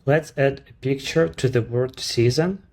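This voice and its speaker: A man speaking English with a Ukrainian accent, his voice sitting at an ordinary middle pitch. He explains each step of a screen-recorded software walkthrough in a measured, unhurried way, with the odd non-native turn of phrase. He is a native Ukrainian speaker presenting his own service.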